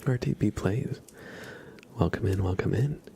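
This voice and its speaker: Soft spoken asmr streamer guy, slow n whispery, bit of gravel, chatting casually. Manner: soft-spoken, slipping between whisper and low murmur. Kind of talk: ASMR, casual livestream chat.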